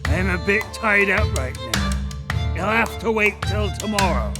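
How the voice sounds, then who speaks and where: An actor playing a gruff, commanding male pirate captain in an audio drama. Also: high-pitched, lively intonation, a little slow. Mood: blustering. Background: guitar and fiddle music.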